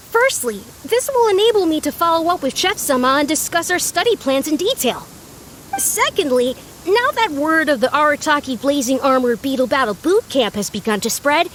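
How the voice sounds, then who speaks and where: A woman's voice: high voice, fairly bright tone, a little hiss or hum. A woman voicing an earnest, devoted young beetle-battle master in a video game, speaking in formal, grandiose phrases. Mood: earnest, loyal. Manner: formal, grandiose, orderly wording. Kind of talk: animated video game voice acting.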